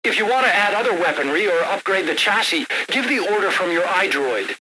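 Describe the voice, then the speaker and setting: A man's voice: high-pitched, lively intonation, fairly quick, noisy background. An actor playing an eager, proud military engineer showing off his invention in a video game briefing.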